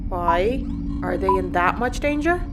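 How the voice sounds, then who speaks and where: An actress with an Irish accent playing a brusque, protective spaceship crew leader with a blunt manner in an audio drama. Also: female voice, medium pitch, animated, a little slow, lightly acted. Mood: exasperated. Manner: blunt, exasperated. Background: music.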